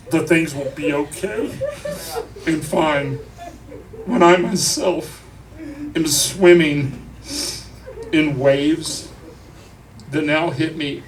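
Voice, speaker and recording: A grieving man delivers an emotional eulogy to a crowd, speaking deliberately, with profanity and wry humor. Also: fairly high pitch. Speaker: eulogist at a memorial. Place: crowded bar at a memorial. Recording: noisy live room, distant mic.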